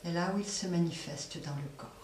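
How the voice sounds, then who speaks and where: A woman leading a guided relaxation as a yoga nidra teacher, speaking softly and unhurriedly with long pauses between phrases. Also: lowish voice. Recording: roomy echo, distant mic, faint noise.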